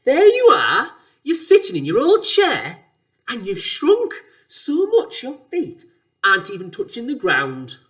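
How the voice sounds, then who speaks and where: A gleefully cruel old man from a storybook. He booms slow, mock-solemn pronouncements, stretching his words and swooping widely in pitch to frighten his listener. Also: very animated, heavily acted. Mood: shouting, accusing. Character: gleefully cruel, scheming, shouting nasty old husband.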